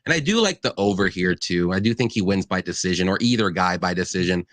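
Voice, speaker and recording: Laid-back low-voiced male stream host. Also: expressive, quick pace, a bit bright, no room sound. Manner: easygoing, many fillers. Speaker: host of betting live stream. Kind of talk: casual betting chat, reading comments.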